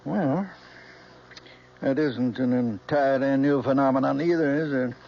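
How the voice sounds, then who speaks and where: Casting: doting scholarly American father, aging, wistful and measured, mid-pitched, old radio play, dull sound. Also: creaky. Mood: gently teasing. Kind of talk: old-time radio drama acting. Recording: muffled, noisy old radio recording.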